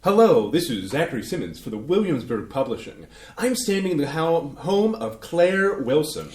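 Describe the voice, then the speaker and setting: An actor playing an upbeat, flattering young interviewer who coaxes his subject, in a staged reading. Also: on the high side, normal speed. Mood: coaxing. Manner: coaxing, upbeat, slangy. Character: trendy young male cookbook interviewer, eager and flattering. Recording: echoey theater room.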